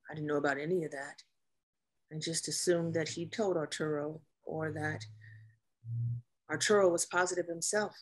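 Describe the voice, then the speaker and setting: A woman acting a weary, bitter naval officer in a drama, speaking bluntly. Her voice is low and husky, with a rough edge. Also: steady tone, moderate pace, long pauses, low-quality recording. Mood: subdued. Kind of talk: dramatic script table reading.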